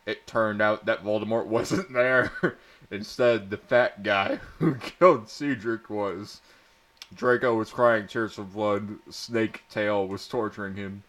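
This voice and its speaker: A man's voice: on the low side, steady tone, slow pace, fairly bright tone. A man doing a comedic dramatic reading of bad fan fiction, prone to stumbling and giggling as he reads. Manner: often breaking into giggles and stumbling over words.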